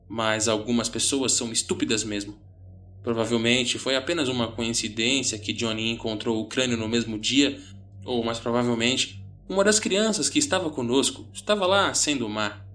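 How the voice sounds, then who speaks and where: The man you would hear on a late-night creepypasta podcast, telling a scary story dramatically over a quiet soundtrack. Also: mid-range voice. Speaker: horror story narrator. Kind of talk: dramatic first-person scripted narration. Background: background music.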